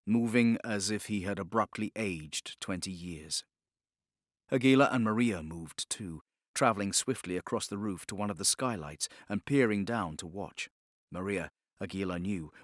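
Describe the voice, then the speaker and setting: A male audiobook narrator with a fairly low voice reads dramatic fiction. His delivery is measured and solemn, carefully scripted, and the recording is close and dry with no room sound. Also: a bit bright, dry recording.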